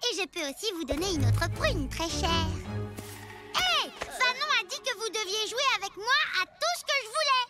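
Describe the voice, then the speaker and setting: A woman's voice: extremely high pitch, big pitch swings, normal speed. A woman with a French accent playing a bossy, sulky little girl in a cartoon, blunt and childlike. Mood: demanding. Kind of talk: animated cartoon acting. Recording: loud music behind the voice.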